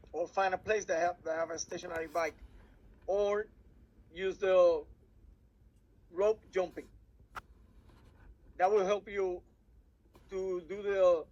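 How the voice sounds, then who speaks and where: A man with a Puerto Rican Spanish accent, Spanish his first language, talks cadets through an exam in English. His voice sits high for a man, and he halts and repeats words as he searches for them. The sound is muffled and lo-fi. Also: darkish tone. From Puerto Rico. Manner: halting, many restarts and repeated words. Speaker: cadet program instructor. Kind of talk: workshop briefing.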